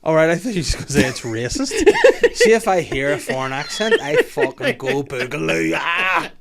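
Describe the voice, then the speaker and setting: Lively, laughing man on a podcast. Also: on the high side, normal speed, gravelly, a crowd in the background. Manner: animated, laughs often, slips into mock accents. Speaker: podcast host. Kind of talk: casual podcast chat.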